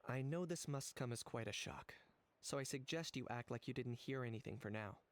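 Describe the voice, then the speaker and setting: A man's voice: mid-range voice, brisk, natural voice. Man as a cool, blunt young warrior. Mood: businesslike. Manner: direct, unruffled, matter-of-fact. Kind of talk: video game character dialogue.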